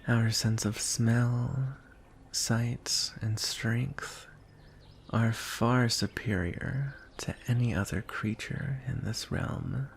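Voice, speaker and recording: Lonely, courtly dragon suitor acted by a man, fantasy ASMR; hushed, lowish creaky voice over faint noise. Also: fairly even intonation. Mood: calm, proud. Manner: soft, hushed, breathy delivery with deliberate pauses. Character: lonely, courtly male dragon wooing a prince.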